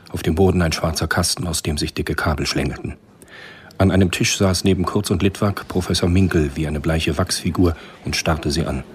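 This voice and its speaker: A man narrating a spy thriller radio drama in a measured, literary storytelling manner. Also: very deep voice, a little hiss or hum.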